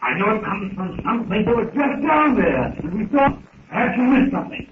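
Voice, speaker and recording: A man's voice: high voice, very animated, fairly quick. An actor playing an earnest, courteous man on a jungle expedition, in 1930s radio serial style. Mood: uneasy. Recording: noisy, echoey, distant, tinny old radio recording.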